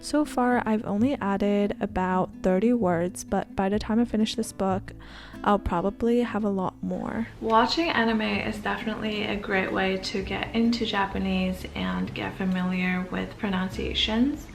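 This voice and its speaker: A woman in her twenties from Canada, narrating a language-learning routine in a soft, gentle, near-whispered voice-over. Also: mid-range voice, fairly even intonation, normal speed, vocal fry, faint music behind. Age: 20s.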